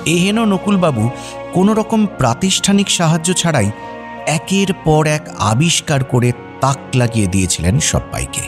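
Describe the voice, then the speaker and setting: A man narrating a scripted radio story in a wry, expressive way. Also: fairly high pitch, normal speed, music in the background.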